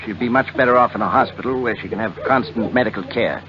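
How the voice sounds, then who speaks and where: An actor playing a grave, professional doctor in a 1940s radio drama. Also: male voice, mid-range voice, quick pace, a crowd in the background, close to an everyday voice. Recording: muffled 1940s radio recording with hiss.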